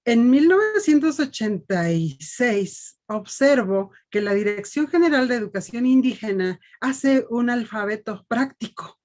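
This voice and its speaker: Woman, teacher, from Mexico, earnest storytelling, panel talk, highish voice. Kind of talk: panel discussion testimony.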